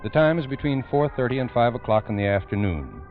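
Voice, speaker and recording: A man's voice: mid-range voice, very animated, fairly quick, faint music behind. An American man narrating an old radio crime program in a solemn, emphatic, theatrical manner, on a muffled recording. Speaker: narrator and host.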